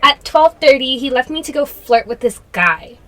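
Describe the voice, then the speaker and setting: A woman's voice: high-pitched, expressive, moderate pace, fairly bright tone. A young woman acting in a student radio drama as a witness whose friend has gone missing. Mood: anxious, explaining.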